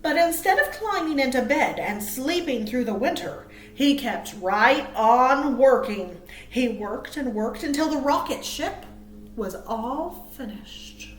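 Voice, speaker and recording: A woman reading aloud to small children as a storytime host, cheerful and expressive. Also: high-pitched, takes it slowly, slight background noise. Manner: cheerful, expressive, asks listeners questions. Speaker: children's librarian hosting storytime. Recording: roomy, echoey sound.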